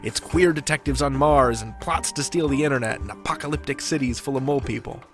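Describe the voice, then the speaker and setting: A man's voice: mid-range voice, quick pace, faint music behind. A man hosting a podcast with scripted narration in a wry, playful manner. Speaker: podcast host.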